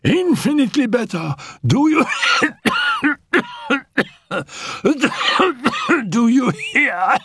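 A man's voice: sing-song, extremely slow, fairly bright tone, slight background noise, full character voice. A man voicing a frail, feverish young woman who is dying but defiant, coughing and laughing breathlessly as she shrieks. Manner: coughing fits, breathless laughter, shrill outbursts.

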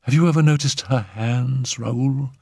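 A man's voice: mid-range voice, a little slow, warm tone, breathy edge, no room sound, clean studio sound, voice pushed up. A man voicing an exhausted, anxious woman who pleads with agitated, emphatic repetition in a dramatic story reading. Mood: uneasy, dreading. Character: anxious, exhausted, high-strung Frenchwoman, a spirit medium.

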